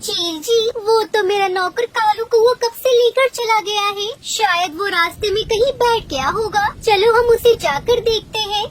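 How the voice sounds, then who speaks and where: A woman's voice: extremely high pitch, unhurried, hardly any pauses. A woman voicing a small, hardworking female bird who keeps a shop in a cartoon story, polite and businesslike. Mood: concerned. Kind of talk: Hindi cartoon story voice acting.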